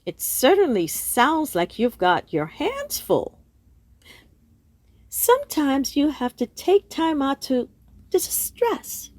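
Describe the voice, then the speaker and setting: A woman's voice: high voice, very animated, slow, a little mellow, no room sound, heavily acted. A woman playing a composed, polite visitor who offers advice in a radio play. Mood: soothing.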